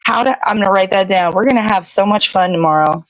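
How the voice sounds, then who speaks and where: A woman's voice: mid-range voice, quick pace, no room sound, poor audio. A woman hosting a morning show with casual, laid-back, rambling chat.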